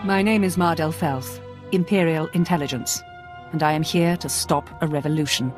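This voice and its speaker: A stern imperial intelligence officer played by a woman in a video game. She speaks in a very low, composed voice, grave and clipped, with faint music behind her. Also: steady tone, normal speed, close to an everyday voice. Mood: grave briefing. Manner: clipped, grave briefing delivery. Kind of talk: video game character acting.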